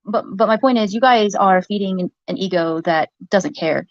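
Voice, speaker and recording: A woman with a soft voice, rambling hesitantly in a clip from a livestream. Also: mid-range voice, normal speed, no room sound, muffled recording. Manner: hesitant, many fillers and restarts.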